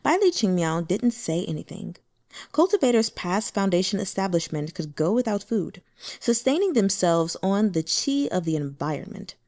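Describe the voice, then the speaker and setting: A woman narrating a translated fantasy novel in a lively, expressive reading. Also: medium pitch, normal speed, dry recording, clean studio sound. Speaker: audiobook narrator.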